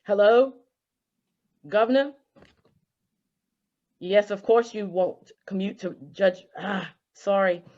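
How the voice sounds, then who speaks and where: A woman's voice: mid-range voice, slow, pauses often, a little mellow. A woman playing a worried, deferential official speaking on the telephone in a radio drama. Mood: earnest concern. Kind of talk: old-time radio drama acting. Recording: dull, muffled audio.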